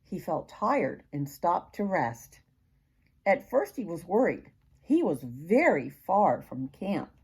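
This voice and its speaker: A woman's voice: lowish voice, big pitch swings, slow, a little mellow, slightly rough. An older woman reading a children's picture book aloud expressively, as a grandmother would, with questions to the young listener.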